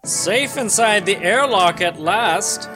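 Male podcast host, higher-pitched and animated, narrates a comic mock-dramatically with casual riffing, music behind. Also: slow. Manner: jokey asides between mock-dramatic narration.

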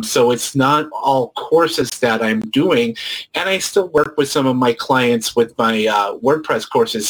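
A man's voice: mid-range voice, a bit bright, poor audio. A man with a mid-range voice talks as a co-host in a casual panel discussion. He explains things step by step and offers advice like an instructor. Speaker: podcast co-host and online course instructor.

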